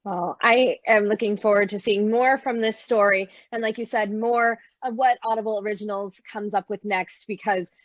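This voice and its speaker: A woman's voice: medium pitch, steady tone, normal speed, hardly any pauses, dry recording. Woman moderator, close dry slightly dull sound. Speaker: moderator of a panel conversation. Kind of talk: hosting a video panel interview. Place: online streaming video conversation.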